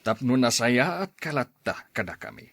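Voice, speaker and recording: Male, Ilocano accent: stern, controlling father as acted in radio drama, medium pitch, close dry sound. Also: animated, moderate pace, gravelly, a bit bright, dry recording. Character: domineering father pressing his adult son.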